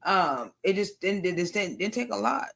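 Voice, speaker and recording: A woman in her thirties, a panel guest on a video call, talking haltingly and lively with many ums. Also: medium pitch, vocal fry. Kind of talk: casual chat.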